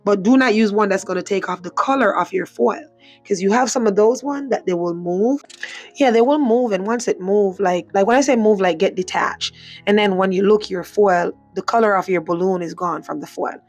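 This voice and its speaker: A woman whose voice is worn hoarse talks through a home craft tutorial in a loose, chatty way. She circles back with "so" and "again" as she explains each step. Faint background music plays beneath her. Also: mid-range voice, moderate pace, a little mellow. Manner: chatty, repeats herself, frequent "so" and "again". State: hoarse, voice mostly gone. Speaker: craft channel host. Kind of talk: casual tutorial voice-over.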